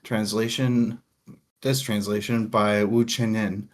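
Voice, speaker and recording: A man with a fairly low voice talks as co-host of a book discussion show. His delivery is casual and jokey, with a playful touch of drama. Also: level tone, takes it slowly.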